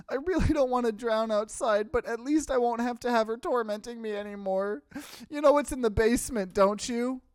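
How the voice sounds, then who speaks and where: A man's voice: extremely high pitch, clear, resonant tone, no echo, clean studio sound, pitched above the performer's own voice. A man voicing a timid, frightened little guy in a horror visual novel, with a hesitant stammer.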